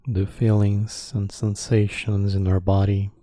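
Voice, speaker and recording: A man leading a guided meditation, speaking calmly in sparse phrases with long pauses between them. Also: lowish voice, no room sound, clean studio sound.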